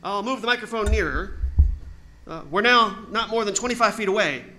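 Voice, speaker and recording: A man playing an excited radio reporter at the scene in 1930s radio style, hesitant and stammering with urgency. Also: high-pitched, a little fast, a little hiss or hum. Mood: hushed urgency. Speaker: actor in a radio drama recreation.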